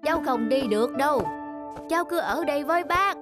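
An actor voicing a weary, fatherly old male windmill in a cartoon, speaking in a slow, drawn-out way. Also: high-pitched, lively intonation, over music. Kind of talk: cartoon acting.

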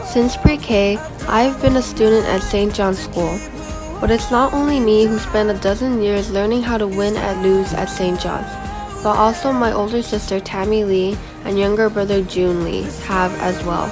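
A fifteen-year-old girl from Guam reading her autobiography aloud as a school presentation, in an earnest, steady voice. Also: medium pitch, normal speed. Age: teenager, 15. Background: background music.

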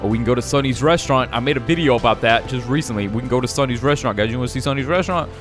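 A man's voice: medium pitch, very fast. A young man giving jokey, slangy, casual gameplay commentary as the host of a gaming video. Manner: jokey, slangy, calls viewers "guys" and "dude". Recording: music behind the voice. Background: music.

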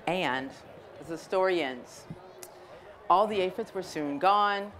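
A woman's voice: fairly low pitch, slow pace, fairly bright tone. American woman reading to children, noisy outdoors. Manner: conversational, explanatory, checking with listeners. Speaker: storyteller. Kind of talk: interactive picture-book reading to children. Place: outdoors in a garden. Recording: clear outdoor ambient noise.

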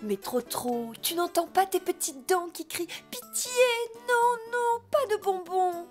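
A woman voicing a gentle, playful mother donkey who is motherly and teasing in a French preschool cartoon. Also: extremely high pitch, slow, soft background music. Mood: playfully scolding.

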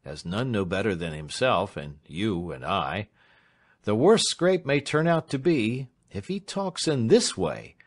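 A shrewd, whimsical old lawyer from classic fiction, played by a male narrator. He is dry and emphatic, swinging widely in pitch as he slowly and deliberately makes his points. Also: expressive. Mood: grave, pointed. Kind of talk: dramatised reading of classic fiction.

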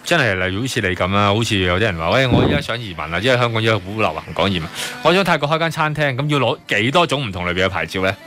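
Man, Hong Kong Cantonese accent, inquisitive radio host, lowish pitch, lively intonation. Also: rapid-fire, few pauses. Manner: inquisitive, echoes back what the guest says. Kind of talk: conversational radio interview. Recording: music playing behind the voice. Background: background music.

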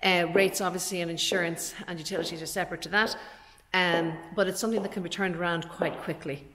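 Irish woman, forties, lowish voice, informal walkthrough narration, chatty and explanatory, noticeable noise on handheld recording. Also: steady tone, fast talker. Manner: chatty, explanatory, talking while walking. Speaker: estate agent giving a property tour. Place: inside empty commercial unit, large bare rooms.

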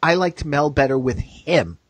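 A man with a fairly high voice, recorded close and dry, hosts a casual discussion. He gives his opinions in a halting way, often doubling back mid-thought, with a husky edge to his tone. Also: animated, no room sound. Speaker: podcast host.

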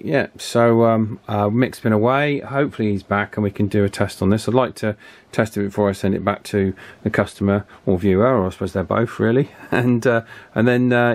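Low-voiced British man chatting through a demonstration. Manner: chatty, informal. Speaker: radio repairer presenting a video. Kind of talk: casual hands-on demonstration. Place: indoor electronics workbench.

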